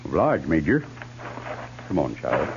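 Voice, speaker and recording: Very deep, rustic frontier scout. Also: male voice, sing-song, unhurried, full character voice. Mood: deferential. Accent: American. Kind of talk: 1950s radio western acting. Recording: muffled 1950s radio recording, faint hum.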